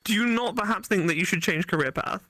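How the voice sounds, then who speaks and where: A woman's voice: medium pitch, quick pace, a bit bright, dry recording. A woman giving casual, blunt and sarcastic co-commentary on a let's play, swearing freely.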